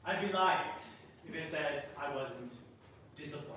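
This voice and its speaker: A woman's voice: sits low, moderate pace, fairly bright tone, slight background noise. A woman acting a laconic, teasing theater performer in a live staged reading. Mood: dry. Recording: distant, very echoey hall recording.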